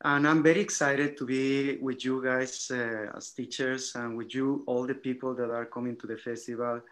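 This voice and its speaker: A man's voice: medium pitch, fairly even intonation, normal speed, fairly bright tone. A man from Mexico hosting an informal online presentation as one of the teachers, speaking in hesitant, repetitive phrases. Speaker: host and teacher presenting a festival.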